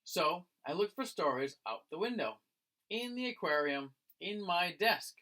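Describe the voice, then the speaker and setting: A man reading a children's picture book aloud like a teacher, in an expressive, dramatic manner. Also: sits high, unhurried. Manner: dramatic, acting out the lines.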